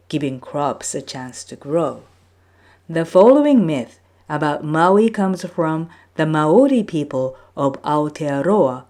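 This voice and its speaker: A woman's voice: deep voice, mellow. A woman storyteller reading a scripted folktale aloud as narration.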